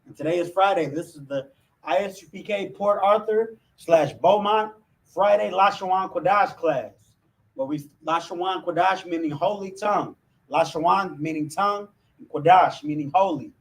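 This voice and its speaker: A man's voice: sits high, normal speed, distant mic. A man with an American accent teaching an informal religious language class to camera in an emphatic, conversational way. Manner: emphatic, with frequent "you know what I'm saying". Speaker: religious group officer teaching a Hebrew class.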